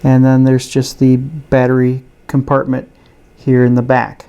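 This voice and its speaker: Guy doing a casual gadget demo, really mellow soft tone, unhurried plain explaining. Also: mid-range voice, monotone, gravelly, clear, resonant tone. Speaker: gadget reviewer. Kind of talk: casual product demonstration.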